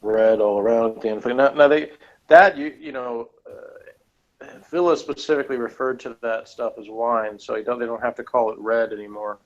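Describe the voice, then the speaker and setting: A man's voice: medium pitch, fairly even intonation, moderate pace. A man co-hosting a review, chatting casually as he reads out notes and adds rambling, skeptical asides. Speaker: co-host of a TV episode review. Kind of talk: casual chat while reading out and reacting to review notes. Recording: dull, lo-fi sound.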